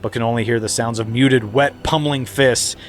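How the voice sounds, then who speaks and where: A man's voice: mid-range voice, steady tone, a little fast, over loud music. A man hosting a podcast, reading a screenplay aloud in a mock-dramatic comedic way. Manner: mock-dramatic, breaking into laughter. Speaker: podcast host.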